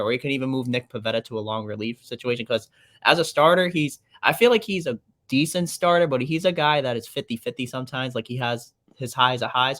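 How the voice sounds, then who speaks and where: Flat-voiced man chatting baseball. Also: medium pitch, no room sound. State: slightly raspy throat. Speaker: podcast co-host. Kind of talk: casual sports chat.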